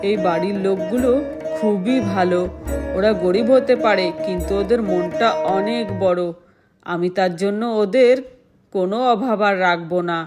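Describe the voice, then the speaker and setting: A woman's voice: mid-range voice, normal speed, music in the background, pitched below the performer's own voice, lightly acted. A woman voicing a hungry, kindly boy god in a doll story, with an eager, earnest, childlike manner. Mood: solemn, benevolent.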